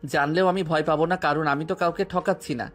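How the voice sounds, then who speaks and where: A man playing a tender, persistent young student in love, speaking softly and earnestly in an audio drama. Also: a little high, steady tone, brisk, faint music behind, lightly acted. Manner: soft, earnest, romantic delivery.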